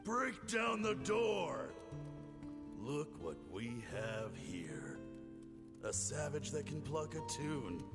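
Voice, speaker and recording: An actor voicing a brutal male soldier who taunts and shouts, in a game cutscene. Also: mid-range voice, sing-song, slow. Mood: taunting. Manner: taunting, then shouting orders. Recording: long reverb with loud music.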